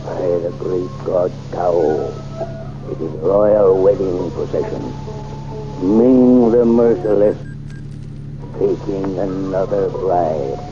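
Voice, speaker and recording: An actor in a 1930s radio serial playing a noble, bearded warrior prince who speaks slowly and gravely in archaic thee-and-thou speech. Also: male voice, low voice, over music. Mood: hushed. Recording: dull old radio serial recording.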